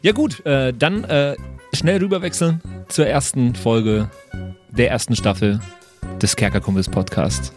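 A man's voice: mid-range voice, measured pace, soft background music. A man hosting a podcast in casual, colloquial chat. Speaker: podcast host.

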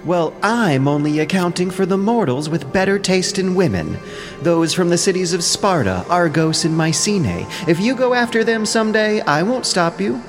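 Man acting as proud goddess queen, high voice, theatrical nagging indignation, ancient epic audio drama, faint music. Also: animated, moderate pace. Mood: bitter, conceding. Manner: theatrical, pointed, indignant speech. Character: haughty, aggrieved goddess queen, a nagging divine wife. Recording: music behind the voice.

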